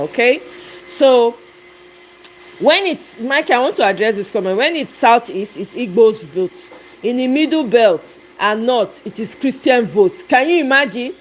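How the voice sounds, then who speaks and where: A woman with a Nigerian accent hosts a casual live reaction stream. Her voice sits fairly high, and she comments in exclamatory asides, mixing in some Pidgin. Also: a little hiss or hum, rough recording.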